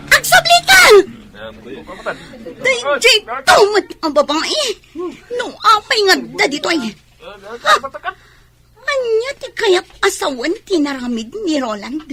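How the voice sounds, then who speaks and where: A woman's voice: extremely high pitch, big pitch swings, takes it slowly, long pauses, crowd noise, full character voice. A woman with an Ilocano Filipino accent playing a meddling, needling young schemer in a radio drama. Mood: alarmed, shrill. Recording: loud music under dialogue.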